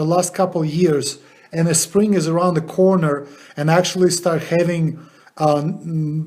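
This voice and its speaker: A man asks questions in an eager, curious way, sometimes cutting in to press a point. His voice sits a little high for a man. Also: darkish tone. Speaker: interviewer. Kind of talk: casual interview.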